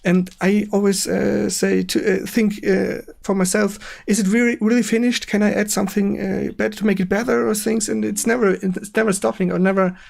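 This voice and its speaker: High-pitched, creaky German man interviewing. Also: level tone. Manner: halting, searching for words in non-native English. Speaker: stream host interviewer. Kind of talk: casual live-stream interview.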